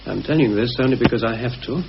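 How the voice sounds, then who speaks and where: An actor playing a cautious, anxious British conspirator in a radio play, speaking in a deliberate, hesitant, earnest way. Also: male voice, mid-range voice, creaky, lightly acted. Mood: grave, uneasy. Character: anxious, cautious male conspirator confiding a grave suspicion. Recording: muffled, hissy old radio recording.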